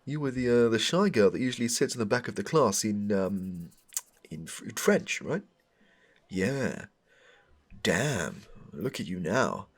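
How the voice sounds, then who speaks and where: A man's voice: animated, unhurried. A man playing a smitten, rambling suitor with a shady air in a comedic audio roleplay, stammering and overdramatic as he speaks to the listener. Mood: awestruck, flustered. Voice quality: low, gravelly, husky. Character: smitten, rambling, darkly suspicious man meeting his crush.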